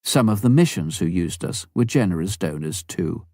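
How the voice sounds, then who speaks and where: Lively low-pitched man narrating a book. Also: moderate pace, no room sound, clean studio sound. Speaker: audiobook narrator. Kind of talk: scripted narration, reading a memoir aloud.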